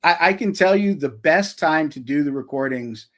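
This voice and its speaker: Guy author chatting on a livestream, kinda high voice, rambly and self-deprecating, home mic. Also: lively intonation, normal speed. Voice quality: slightly high voice. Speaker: author and audiobook narrator. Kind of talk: casual live-stream chat. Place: home recording setup. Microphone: cheap stand mic in a foam sound box.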